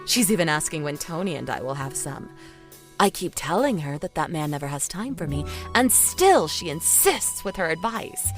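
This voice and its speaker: A woman's voice: low voice, very animated, normal speed. A woman acting a sardonic, cool-headed sci-fi game show producer in an audio drama, dry and wry. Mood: weary. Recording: music playing behind the voice.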